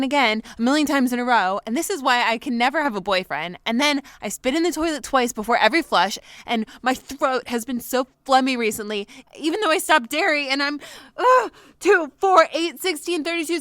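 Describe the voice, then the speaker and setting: A woman's voice: high-pitched, a bit bright, no echo. An actress in her twenties playing an anxious, candid young woman recording a rambling, self-deprecating audio diary in an audio drama. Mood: agitated, exasperated. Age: 20s. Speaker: lead actor in an audio drama. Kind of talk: confessional audio diary monologue.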